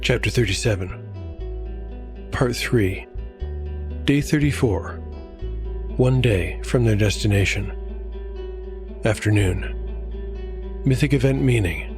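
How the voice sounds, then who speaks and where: A man's voice: lowish voice, slow, long pauses, a little mellow, faint music behind. A man working as solo game master, narrating a scripted fantasy audio drama and commenting on the game.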